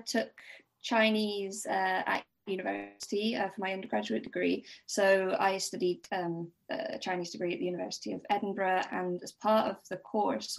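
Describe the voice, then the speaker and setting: A young woman speaks as an interview guest in a casual, conversational way. Her medium-pitched voice has plenty of vocal fry. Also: poor audio. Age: young adult. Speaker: podcast guest, doctoral researcher.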